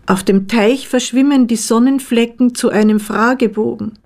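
A woman reading her own poems in a measured, deliberate way with pauses between phrases. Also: fairly high pitch, steady tone, darkish tone. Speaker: poet, author reading own work. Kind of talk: literary poetry reading.

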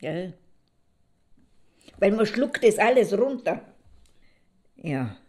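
An actress playing a reflective middle-aged woman looking back on her marriage in a halting, musing audio drama monologue. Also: mid-range voice, sing-song, warm tone. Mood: reflective, resigned. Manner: halting, musing, pausing to think.

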